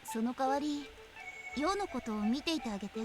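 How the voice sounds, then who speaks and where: A woman's voice: high voice, animated, very fast. An actress playing an indignant, strong-willed young female student in a visual novel, firm and assertive. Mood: indignant, determined. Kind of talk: Japanese visual novel voice acting. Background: game music.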